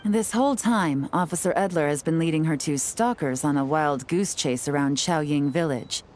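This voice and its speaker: A woman playing a cool, composed female secret agent who speaks in a calm, measured, quietly confident way, in a video game cutscene. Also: sits low, lightly acted.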